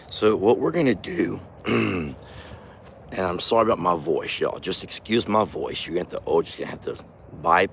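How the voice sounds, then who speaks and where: Hoarse man, folksy farm vlog. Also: lowish voice, slight background noise. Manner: folksy, chatty, talks softly to his bull. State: hoarse, lost his voice. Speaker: farm vlogger, channel host. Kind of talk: casual outdoor vlog. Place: outdoors on a farm by a bull pen. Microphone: handheld phone camera.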